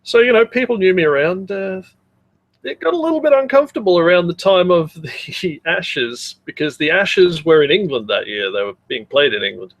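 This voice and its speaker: Higher-voiced Australian man, joking podcast co-host. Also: very animated, normal speed. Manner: joking, sweary. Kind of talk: casual podcast banter.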